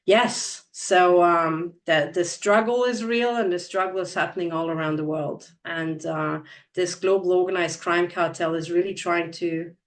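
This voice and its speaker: A woman's voice: lowish voice, steady tone, moderate pace, recorded from a distance. A woman from Transylvania whose first language is Hungarian, hosting a casual interview in an earnest way and often repeating words as she starts a sentence. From Transylvania, Romania. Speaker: host.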